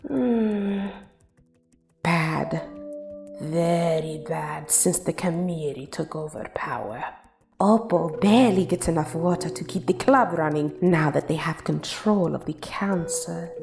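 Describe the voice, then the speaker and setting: A woman voicing a timid, towering lizard-like alien who speaks of itself in the third person, trailing into soft whispers. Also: sits low, slow, gravelly, music in the background, heavily acted. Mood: worried. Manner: refers to self in third person. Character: tall lizard-like alien club owner, timid.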